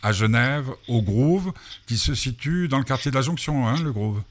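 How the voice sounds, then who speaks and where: A man's voice: medium pitch, expressive, moderate pace. A man hosting a casual radio interview, teasing his guests and rambling with frequent repetitions. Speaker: radio host.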